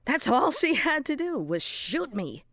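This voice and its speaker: A woman voicing a smug, sarcastic male cartoon rabbit, dismissive and mocking, at times hoarse and nasal from being sick. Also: high-pitched, very animated, measured pace, a bit bright, no echo, voice pushed up, exaggerated voice. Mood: bitter. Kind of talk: fan voice acting for an animation storyboard.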